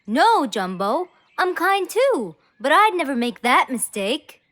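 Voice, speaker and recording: A woman's voice: high voice, sing-song, slow, fairly bright tone, heavily acted. A woman voicing an assertive, childlike young animal pupil in a children's cartoon. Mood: self-assured.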